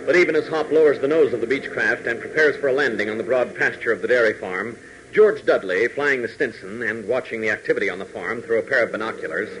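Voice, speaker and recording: A man's voice: medium pitch, quick pace. A man narrating a 1940s radio serial as announcer in a dramatic, suspense-building way. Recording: noisy 1940s radio recording.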